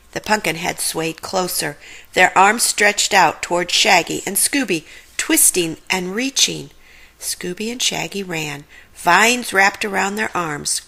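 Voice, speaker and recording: Casting: female children's storyteller, very lively intonation, bright tone, slight gravel. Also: mid-range voice. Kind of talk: animated children's story narration.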